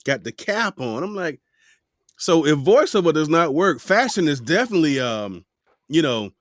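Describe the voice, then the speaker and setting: A man's voice: a little high, normal speed, dry recording. A man hosts his podcast with a loose, joking manner, his voice swinging widely up and down as he talks. The sound is dry and close, with no room around it. He chats with a guest over a remote video call. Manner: playful, animated, joking asides. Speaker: podcast host. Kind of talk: casual interview chat.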